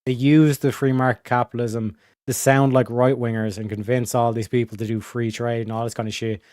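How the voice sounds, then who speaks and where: Streamer host, male, casual and profane, smooth clear voice, dry close mic. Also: medium pitch, flat delivery, a little fast, a little mellow, no room sound. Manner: slangy, profane, rambling with many fillers. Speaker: livestream host. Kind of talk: casual livestream chat.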